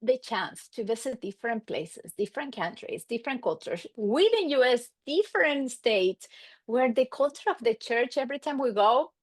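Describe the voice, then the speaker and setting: A middle-aged woman with an Argentine Spanish accent talks as an interview guest. Her voice sits high and swings widely in pitch as she casually tells stories and voices bits of dialogue. The sound is close and dry. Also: normal speed, no echo. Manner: animated, acts out quoted exchanges. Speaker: guest, marriage and family therapist.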